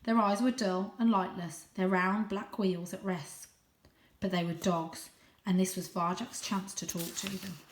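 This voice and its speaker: A woman reading a children's story aloud in an expressive, suspenseful, animated way. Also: mid-range voice, unhurried, roomy sound, a little hiss or hum. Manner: animated, suspenseful, with gasped asides. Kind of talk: dramatic, expressive audiobook-style story narration.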